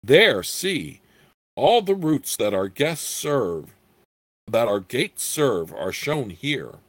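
A man voicing a small old gnome proprietor from a fantasy storybook, squeaky, eager and easily indignant, with fussy, formal sales patter. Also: big pitch swings, slow, no echo. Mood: helpful, proud. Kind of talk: fantasy storybook character reading.

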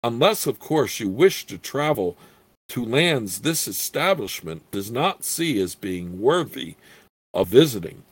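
A small old gnome proprietor from a fantasy storybook, acted out by a man. He is eager, formal and fussy, quick to take offence, with a squeaky manner and pitch that swoops about. The sound is close and dry. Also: big pitch swings, breathy edge, no room sound. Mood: hurried. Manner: fussy, formal salesman's patter. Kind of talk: fantasy storybook character reading.

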